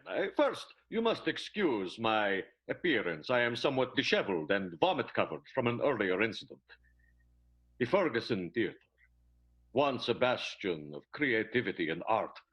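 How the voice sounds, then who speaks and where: A man plays a vain vaudeville heartthrob in a radio melodrama. He declaims pompously, his pitch swinging widely, over a little soft music. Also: slightly rough, poor audio. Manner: pompous, theatrical. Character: vain, melodramatic male vaudeville matinee idol. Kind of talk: improvised radio soap opera acting.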